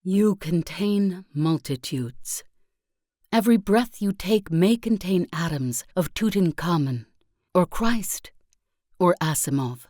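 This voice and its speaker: Calm spiritual guru for a game, deep mellow voice, solemn and uplifting. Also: female voice, no room sound, studio quality. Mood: earnest, reverent. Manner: measured, solemn, preacherly. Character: serene female spiritual self-improvement guru, earnest and uplifting. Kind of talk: scripted game voice lines, motivational speech.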